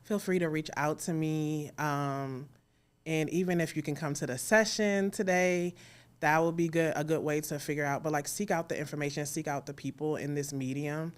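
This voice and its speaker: A woman's voice: low-pitched, steady tone, normal speed, clean tone. A woman speaking casually as an interview guest, in an informal, encouraging way. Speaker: interview guest, audio drama creator.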